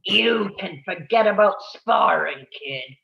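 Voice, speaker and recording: Protective old male boxing trainer, gravelly, read by an actor, dull roomy recording. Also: mid-range voice, animated, takes it slowly, noisy background. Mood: urgent. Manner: blunt, pleading. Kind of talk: live online table read.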